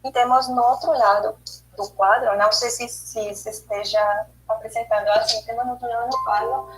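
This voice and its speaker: A woman's voice: fairly high pitch, steady tone, normal speed, a little hiss or hum, poor audio. A woman presenting a chapter summary on a video call, careful and hesitant with many fillers and restarts. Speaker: study group presenter. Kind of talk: online study group presentation.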